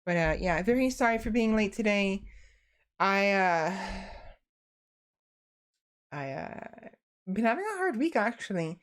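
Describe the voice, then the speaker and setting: A woman's voice: mid-range voice, sing-song, unhurried, pauses often. A young woman streams from her desk, tired and chatting freely with her viewers. She rambles, restarts her sentences and leans on fillers as she talks. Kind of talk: casual chat to stream viewers.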